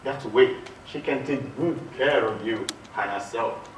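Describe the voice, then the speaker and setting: Man acting a generous gentleman in echoey hall. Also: mid-range voice, measured pace, lightly acted. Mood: reassuring. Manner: emphatic, reassuring, projected delivery. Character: generous, important gentleman, benefactor of beggars. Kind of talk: theatrical one-man stage acting. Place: hotel hall stage. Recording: distant echoey stage recording, faint noise.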